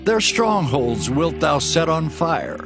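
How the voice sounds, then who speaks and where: An actor playing a solemn, authoritative Hebrew prophet, declaiming weightily in a scripture drama. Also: male voice, fairly high pitch, sing-song, slow pace, music in the background, full character voice. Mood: anguished, foreboding.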